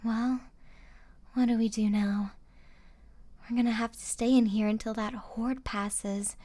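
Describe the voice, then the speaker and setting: Woman acting a high-voiced, emotional apocalypse survivor. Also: steady tone, unhurried, warm tone. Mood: resigned, gentle. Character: distraught, loyal young woman surviving a zombie apocalypse. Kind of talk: ASMR roleplay acting.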